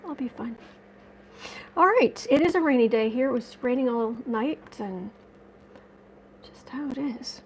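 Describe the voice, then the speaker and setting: A woman with a fairly high voice chats to her viewers, reading comments aloud. Her voice is a little raspy from allergies, and she rambles and restarts her sentences in a friendly, casual way. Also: measured pace, long pauses, slight background noise, rough recording. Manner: rambling, self-correcting, chatty with viewers. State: slightly raspy from dust allergies. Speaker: tarot and astrology livestream host.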